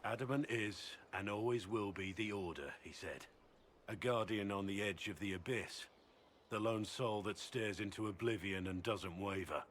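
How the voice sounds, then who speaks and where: A man's voice: fairly low pitch, normal speed, a bit bright, slight background noise, close to an everyday voice. An actor playing an earnest male Grey Warden speaking in a reflective, solemn way.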